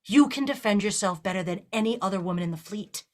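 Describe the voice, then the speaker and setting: A woman's voice: mid-range voice, expressive, fast, a little mellow, lightly acted. A woman playing a bold, persuasive female starship captain in a fan audio drama, speaking with theatrical emphasis. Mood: impassioned. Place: remote virtual production.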